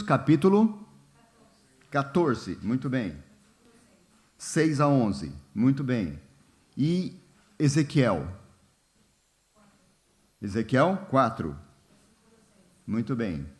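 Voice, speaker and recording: A man leading a church Bible study in a relaxed, informal way, often pausing with fillers and asking the congregation questions. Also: medium pitch. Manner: unhurried, many fillers and "né?", asks the congregation questions. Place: church hall.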